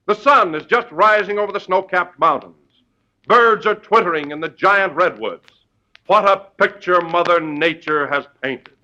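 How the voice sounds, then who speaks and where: A grand radio announcer from the 1940s. His voice is very bright and forceful, set rather high, with a flowery style of delivery. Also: heavily acted, poor audio. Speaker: announcer and sidekick. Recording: old 1940 live radio broadcast.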